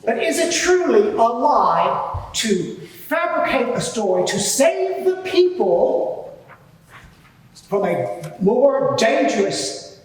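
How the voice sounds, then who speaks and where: A man playing a haughty, evasive high priest on the witness stand in a live courtroom drama, speaking formally and emphatically in his own defence. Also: big pitch swings, slow, pauses often, exaggerated voice. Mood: defiant, self-justifying. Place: large reverberant courtroom, live event. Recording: very echoey hall, distant microphone.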